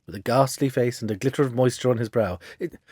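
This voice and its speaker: A man's voice: medium pitch, quick pace, darkish tone, husky, no echo, studio quality. A man reading a story aloud as a dramatic narrator. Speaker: audiobook narrator.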